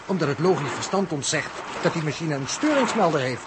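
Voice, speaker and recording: Actor as earnest, sceptical spaceman, fairly high. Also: animated, brisk, lightly acted. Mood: sceptical, reasoning. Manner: earnest, questioning, matter-of-fact. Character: logical, sceptical male space crewman, questioning his comrade. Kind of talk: 1960s Dutch science-fiction radio play acting. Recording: noisy early 1960s radio recording.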